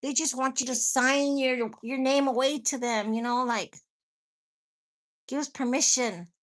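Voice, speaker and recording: A woman's voice: high voice, steady tone, a little slow. A woman hosting a casual live chat with her viewers, rambling, swearing freely and laughing often, with a stuffy nose and a cough. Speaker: livestream host chatting with viewers.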